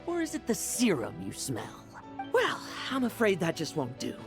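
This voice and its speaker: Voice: medium pitch, huge pitch swings, moderate pace. An actor playing a defiant young warrior in a video game, throwing taunts and emphatic battle cries. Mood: taunting. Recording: loud game music behind.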